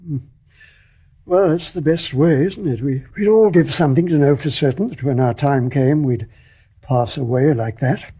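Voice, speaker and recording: An actor playing a kindly, flustered older English major in a period radio drama, halting and repeating his words. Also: a little high, dark tone, slightly rough. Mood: shocked, kindly. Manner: halting, stammering repetitions. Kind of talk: stage-play radio drama acting.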